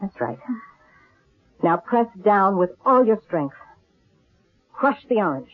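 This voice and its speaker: A woman's voice: medium pitch, lively intonation, slow. An actress playing a shrewd, middle-aged female fortune-teller and con artist, commanding and portentous, in old-time radio drama style. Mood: solemn, commanding. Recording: thin, narrow-band old radio recording.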